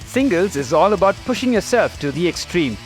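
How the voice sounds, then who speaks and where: A man with an Indian English accent presenting and commentating a fitness race in an upbeat, excitable way. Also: high-pitched, fairly quick, faint music behind. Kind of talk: scripted sports commentary.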